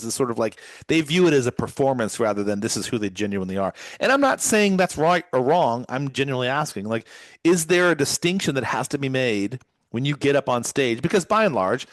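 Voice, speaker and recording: A man's voice: mid-range voice, dry recording. A man from California hosting a podcast, asking long, curious questions with many restarts. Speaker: podcast host. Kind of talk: podcast interview conversation.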